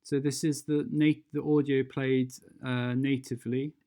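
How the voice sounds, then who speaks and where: A software tutorial presenter talking through steps unscripted and hesitantly, thinking aloud while demonstrating. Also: mid-range voice, level tone, measured pace.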